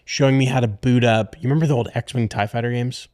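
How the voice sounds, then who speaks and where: A man's voice: mid-range voice, fairly even intonation, fast, darkish tone, no echo, clean studio sound. A man in his mid-thirties, co-hosting a podcast, chatting casually and telling rambling stories full of fillers. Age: 30s. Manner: rambling, filler-heavy storytelling. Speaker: podcast co-host. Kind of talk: casual chat.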